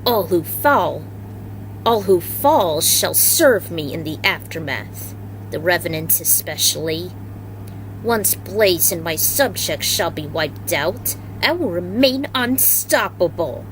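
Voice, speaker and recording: A woman voicing a malevolent, gloating male dark god with a grandiose, deliberate delivery. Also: fairly low pitch, animated, slight background noise, low-quality recording.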